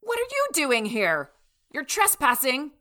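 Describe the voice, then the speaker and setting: A woman's voice: high voice, wildly swooping pitch, measured pace, sharp tone, soft background music, heavily acted. An actress playing an imperious, ruthless woman who speaks with command and contempt in an audio drama. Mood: furious, commanding. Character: imperious, ruthless woman villain barking orders.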